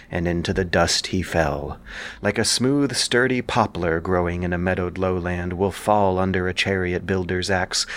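A man with a fairly low voice delivers scripted podcast commentary. His phrasing is measured and literary, with a dramatic storyteller's turn to it. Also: fairly bright tone. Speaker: podcast host and narrator. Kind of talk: scripted commentary on ancient epic and history.

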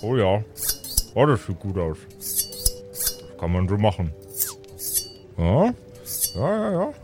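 A man playing a devoted, nervous machete-wielding fellow who mutters haltingly in an improvised role-play audio story. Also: on the low side, huge pitch swings, exaggerated voice. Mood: self-assured. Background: music under the voice.

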